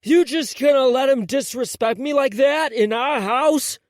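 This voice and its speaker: A man's voice: very high-pitched, a little slow, no echo, studio quality, pitched above the performer's own voice. A man voicing an insulted young man ready to fight. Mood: angry. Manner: combative.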